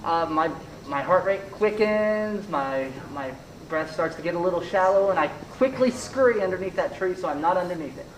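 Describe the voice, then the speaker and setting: A man's voice: sits high, lively intonation, normal speed, distant mic. Man, casual banter, echoey room, off-mic, light background noise.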